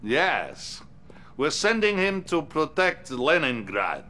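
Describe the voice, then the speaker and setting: An actor playing a scheming Soviet premier who gives orders in a grave, deliberate way, sometimes dropping to a menacing hush. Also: male voice, a little high, wildly swooping pitch, exaggerated voice. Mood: firm.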